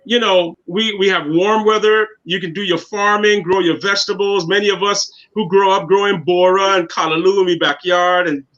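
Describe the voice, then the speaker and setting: Man in his 50s, interview guest, bright voice, muffled lo-fi sound. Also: high-pitched, fairly even intonation, moderate pace, few pauses. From Guyana. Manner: earnest, repeats words while finding his thoughts. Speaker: guest, city councilman. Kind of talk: casual interview talk. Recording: dull lo-fi sound.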